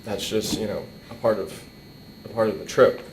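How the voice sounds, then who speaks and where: Halting, emotional young man in echoey room. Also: fairly even intonation. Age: young adult. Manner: halting, repeats words. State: emotional, struggling to keep composure. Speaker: eulogist at a memorial, nephew of the deceased. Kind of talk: personal, informal eulogy. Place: indoor hall. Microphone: microphone on a boom stand. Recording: roomy echo, faint rumbling noise.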